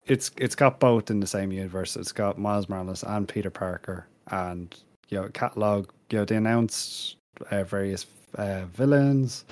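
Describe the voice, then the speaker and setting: A man's voice: on the low side, normal speed, hardly any pauses, a little mellow, dry recording. A man hosting a casual panel chat among friends. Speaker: podcast host.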